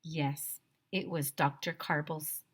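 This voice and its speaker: A woman teacher reading a children's story aloud in an expressive, dramatic, emphatic storytelling manner. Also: low voice, takes it slowly.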